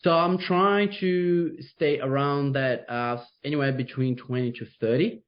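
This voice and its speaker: A man's voice: mid-range voice, fairly even intonation, a little slow. A young man from Brazil with a Brazilian Portuguese accent, a real estate agent speaking in an interview over a video call, upbeat and loose, with lots of fillers. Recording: dull lo-fi video call audio.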